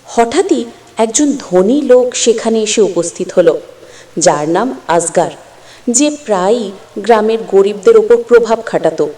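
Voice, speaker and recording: একজন নারী কথক লিখিত গল্প বর্ণনা করছেন।. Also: female voice, medium pitch, expressive, unhurried, dark tone, in a large hall. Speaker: narrator. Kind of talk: scripted story narration.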